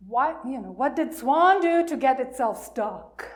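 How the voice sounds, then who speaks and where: A woman with a fairly high voice speaks at a very slow pace, as a minister giving a storytelling message for all ages. She leaves pauses and asks her listeners questions as she goes. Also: animated. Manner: questioning, pausing, inviting listeners to answer.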